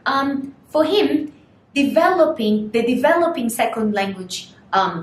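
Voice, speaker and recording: A young woman whose first language is Portuguese gives a recorded university lecture, explaining slowly and putting rhetorical questions to students. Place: small studio room in front of a screen. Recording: echoey room, faint rumble.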